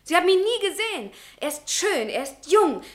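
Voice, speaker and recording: An actress in a radio play playing an ardent, headstrong young student who speaks with passionate challenge. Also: female voice, very high voice, big pitch swings, brisk. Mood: rapturous. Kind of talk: German radio drama acting.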